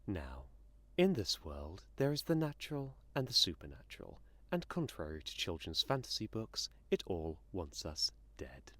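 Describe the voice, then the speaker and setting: A man playing a menacing, condescending inhuman entity in an audio drama, speaking in a sardonic, theatrical and deliberate manner. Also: sits low, huge pitch swings, fairly bright tone, no room sound, full character voice. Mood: sardonic lecturing.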